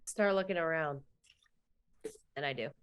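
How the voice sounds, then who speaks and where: Slightly low-voiced woman roleplaying casually. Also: measured pace, lo-fi sound, slight background noise. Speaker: player in a tabletop roleplaying game.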